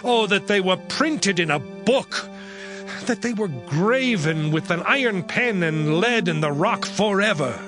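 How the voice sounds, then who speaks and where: An actor playing an anguished, suffering man who pleads his innocence in an impassioned, deliberate scripture drama. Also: a little high. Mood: fervent declaring.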